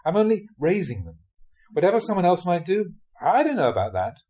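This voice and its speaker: A man's voice: high voice, very animated, a little fast, warm tone, pitched above the performer's own voice. A man voicing a conscience-torn village fisherman who exclaims and makes excuses in a told Buddhist tale. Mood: self-excusing.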